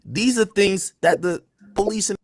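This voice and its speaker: A man's voice: sits high, unhurried, dry recording. Rambling man on a dry livestream mic. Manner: defensive, rambling, heavy slang. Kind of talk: livestream rant.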